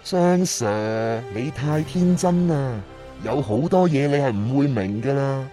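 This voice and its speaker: An actor playing a smug, mocking master thief in a radio drama, sneering and taunting. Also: male voice, mid-range voice, animated, unhurried, dark tone, faint music behind, natural voice. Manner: sneering, taunting, theatrical laughs.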